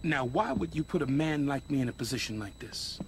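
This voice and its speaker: An actor playing a vengeful crime boss and studio owner in a video game, threatening and taunting. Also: male voice, mid-range voice, lively intonation, brisk, slight background noise, natural voice. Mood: menacing. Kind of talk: video game cutscene acting.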